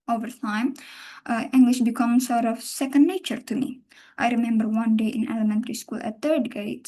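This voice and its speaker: A young woman with an Indonesian accent giving a university class presentation at a careful, measured pace. She stops now and then to correct herself. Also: on the high side, dark tone. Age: young adult. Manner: careful, measured delivery with occasional self-corrections. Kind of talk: class presentation, partly read.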